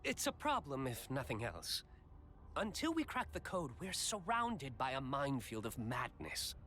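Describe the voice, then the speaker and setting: An actor playing a scheming, deferential royal retainer in a video game, with theatrical, formal phrasing. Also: male voice, medium pitch, wildly swooping pitch, unhurried, pushed and bright, slight background noise, exaggerated voice. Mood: troubled.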